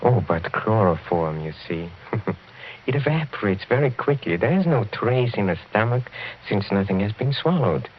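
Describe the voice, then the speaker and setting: An actor playing a suave, sinister count in a 1940s radio horror drama, speaking in a deliberate, theatrical, mocking way. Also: male voice, on the low side, very animated. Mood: quietly menacing. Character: suave sinister middle-aged count, intense, coolly menacing. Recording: muffled, noisy vintage radio recording.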